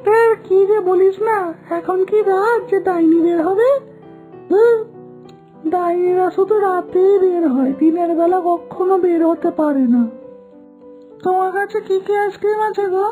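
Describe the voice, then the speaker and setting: A woman's voice: very high-pitched, measured pace, warm tone. A woman voicing a cocky, teasing, unworried young boy in a children's cartoon. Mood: teasing, dismissive. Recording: thin phone-like sound, faint music.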